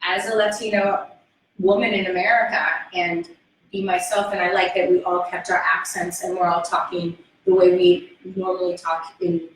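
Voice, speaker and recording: A woman's voice: lowish voice, flat delivery, normal speed, slight background noise. A woman, an actress, talking about her auditions in an easygoing panel chat. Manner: easygoing, laughs readily. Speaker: actress in the film. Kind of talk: casual panel discussion. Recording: echoey room, mic at a distance.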